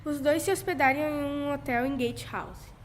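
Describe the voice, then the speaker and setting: High-pitched teenage girl, Brazilian accent, scripted radio narration, slightly noisy recording. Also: normal speed. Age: young teenager. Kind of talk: scripted narration, 1940s-style school radio.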